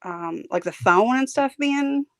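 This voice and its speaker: A woman's voice: sits high, animated, measured pace, dry recording. A woman chats casually as a guest, her voice tired and strained. She laughs often and hesitates with many fillers. The sound is dry and close, with no room around it. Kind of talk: casual chat.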